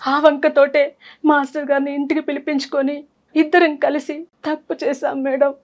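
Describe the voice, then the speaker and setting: Female talk show guest, thirties, high voice, giving a personal confession. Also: little pitch movement, moderate pace, very noisy. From Andhra Pradesh, India. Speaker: guest seeking advice.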